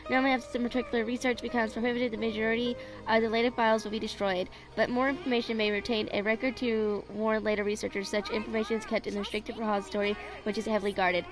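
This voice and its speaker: A woman in her twenties on a casual game livestream, chatting and reading dialogue aloud in a halting, rambling way. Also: fairly high pitch, level tone, over music, low-quality recording. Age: 20s. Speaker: game streamer.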